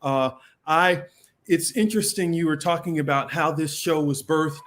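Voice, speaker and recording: A man's voice: fairly high pitch, normal speed, a bit bright, slight background noise. A man who is a political candidate, speaking as an interview guest in an upbeat, colorful way. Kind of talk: interview answer.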